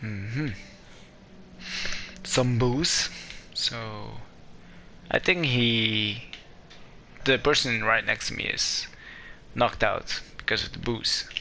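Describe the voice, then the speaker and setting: A man's voice: mid-range voice, very slow, gravelly, pauses often, bright, forceful tone, slight background noise. A young Belgian man with a Flemish accent and Dutch as his first language, giving hesitant, apologetic let's-play commentary in English. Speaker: gaming YouTuber. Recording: game music playing underneath.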